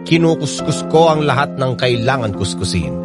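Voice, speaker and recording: A man's voice: mid-range voice, expressive, normal speed, lightly acted. A man with a Filipino accent playing a chatty, playful storyteller, narrating a first-person story for radio drama. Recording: loud music under narration.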